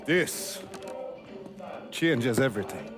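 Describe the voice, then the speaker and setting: A man's voice: mid-range voice, lively intonation, slow, music in the background. An actor with a Southern US accent playing a proud, fatherly older crime boss in a dramatic video game. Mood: solemn, heartfelt.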